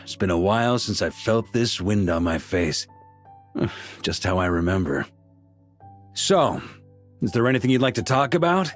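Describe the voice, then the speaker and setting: A good-humored grandmaster knight from a video game, mid-pitched and lively in his intonation. He sounds easygoing and wry, with soft music playing faintly behind him. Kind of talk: game voice acting.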